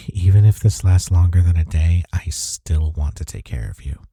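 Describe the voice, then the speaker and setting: A man acts out a gentle, devoted boyfriend in an intimate audio roleplay. His voice is low and deeply mellow, recorded close and dry. He speaks softly and tenderly, reassuring a partner he cares for. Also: normal speed, husky, no room sound. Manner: tender, reassuring, soft-spoken.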